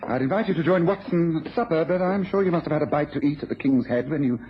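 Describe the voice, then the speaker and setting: An actor playing a shrewd, self-assured English detective in a British radio drama, speaking briskly, precisely and knowingly. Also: male voice, on the high side, close to an everyday voice. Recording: dull old radio recording, faint noise.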